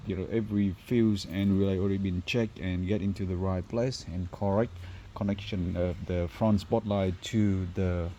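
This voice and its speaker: A man who is not a native English speaker, presenting a car installation job in an informal, halting walkthrough. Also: low-pitched, fairly even intonation, mellow, muffled recording, slight background noise. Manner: halting, hesitant phrasing. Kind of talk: informal workshop walkthrough video.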